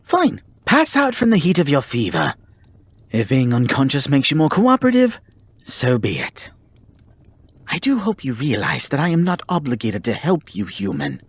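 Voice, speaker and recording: Guy doing a snooty male kitsune for anime asmr roleplay, husky breathy voice, super expressive, formal and exasperated but secretly caring. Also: mid-range voice, normal speed, dry recording. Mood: flustered, irritated. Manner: formal, theatrical, exasperated scolding. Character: haughty, tsundere male kitsune forest spirit, grudgingly caring. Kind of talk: ASMR roleplay acting, addressing the listener.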